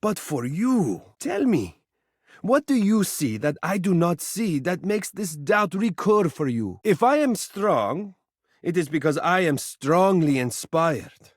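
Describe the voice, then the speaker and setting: Swooping, gushing, theatrical charmer. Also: male voice, high-pitched, big pitch swings, slow pace, no room sound, studio quality, full character voice. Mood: puzzled, encouraging. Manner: effusive, dramatic, gushing, doting. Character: flattering, adoring, theatrical living mirror, suave male charmer. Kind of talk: video game character voice acting, romantic.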